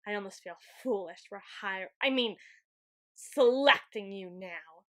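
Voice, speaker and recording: A woman's voice: mid-range voice, measured pace. A woman playing a grandiose, weakened overlord of shadows in an ASMR roleplay, with pompous, flowery, melodramatic delivery. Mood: wistful, faltering. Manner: pompous, archaic flowery phrasing. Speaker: ASMR roleplay audio performer. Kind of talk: ASMR roleplay, theatrical scripted monologue.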